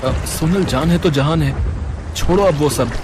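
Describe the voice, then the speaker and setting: A man's voice: fairly high pitch, measured pace, background noise, lightly acted. A man playing a worried, scolding older father in a cartoon. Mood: concerned.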